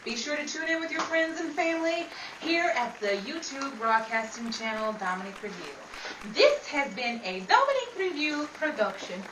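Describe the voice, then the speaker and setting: Female announcer, scripted sign-off, high pitch, distant mic, noisy roomy recording. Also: animated, moderate pace. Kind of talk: scripted radio show sign-off. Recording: echoey room, distant mic, clear noise.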